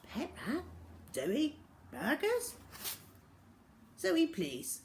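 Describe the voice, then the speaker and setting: A woman's voice: high voice, huge pitch swings, extremely slow, a little mellow, slight background noise, pitched above the performer's own voice, heavily acted. Woman as a weary, sceptical dad. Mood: incredulous. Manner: bewildered, sceptical. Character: weary, defeated, doubtful father of a girl.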